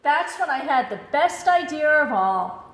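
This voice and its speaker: A woman reading a children's picture book aloud in an expressive storytelling style. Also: high voice, measured pace, roomy sound. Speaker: storybook reader.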